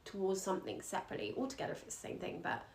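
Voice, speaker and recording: A woman's voice: mid-range voice, sing-song, racing, vocal fry, a loud crowd. A woman giving casual advice as an astrologer guest, informal and often checking in with tag questions. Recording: roomy echo, faint background noise.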